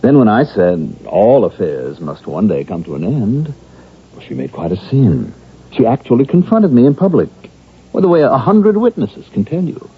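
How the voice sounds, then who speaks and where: A man's voice: medium pitch, very animated, normal speed, slightly rough. A man acting in a vintage radio drama as a weary, defiant accused killer and psychiatric patient, emotional and evasive. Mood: confessing. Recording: muffled phone-like old radio recording.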